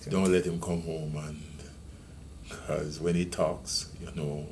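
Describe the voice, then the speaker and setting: Deep Jamaican man in his sixties. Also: level tone, a little mellow, a little hiss or hum. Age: 60s. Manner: measured, reminiscing, with many ums and restarts. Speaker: retiring police deputy commissioner. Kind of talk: reflective interview storytelling.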